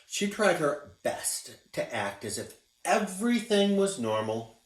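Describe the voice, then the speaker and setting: A man reading a picture book aloud for children. He reads slowly with a wide, lively swing in pitch. The voice echoes in a roomy space, captured from a distance. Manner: expressive, showing pictures as he reads. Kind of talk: children's read-aloud narration.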